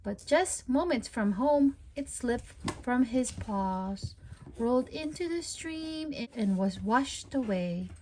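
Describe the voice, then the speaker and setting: A woman's voice: a little high, takes it slowly, a little hiss or hum, low-quality recording. A woman hosting a children's storytime, reading a picture book aloud in a sing-song, child-directed way. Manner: sing-song, animated talk addressed to young children. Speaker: storytime read-aloud host. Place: at home.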